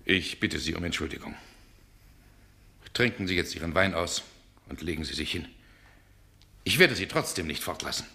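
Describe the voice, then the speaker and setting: An actor playing an irritable, commanding gentleman, brusque and imperious, in a 1960s German radio drama. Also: deep voice, expressive, moderate pace, pauses often, full character voice. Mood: curt, commanding. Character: irritable, commanding 19th-century gentleman, nervous and domineering.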